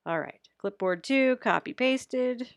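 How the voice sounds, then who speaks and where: A woman's voice: a little high, animated, slow pace, dry recording, studio quality. A woman giving a casual, unscripted editing tutorial in a chatty, rambling way with self-deprecating humour.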